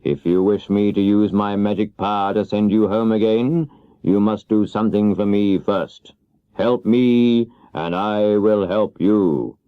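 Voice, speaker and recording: A man voicing a stern, commanding great wizard who speaks deliberately in a children's storybook. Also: lowish voice, fairly even intonation, clear, resonant tone, no echo, lightly acted. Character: stern, bargaining great wizard, a giant head. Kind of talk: children's audiobook character voice. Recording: dull 1970s cassette audiobook.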